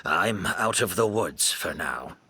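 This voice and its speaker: A man voicing a weakened, solemn older male merchant who speaks slowly and gravely. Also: low voice, lively intonation, sharp tone, a little hiss or hum, pitched below the performer's own voice, heavily acted.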